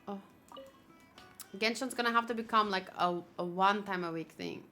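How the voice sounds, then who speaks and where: A woman chatting casually on a gaming livestream, thinking aloud in an expressive, chatty way. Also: mid-range voice, moderate pace, faint music behind. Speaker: video game streamer. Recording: clear background noise.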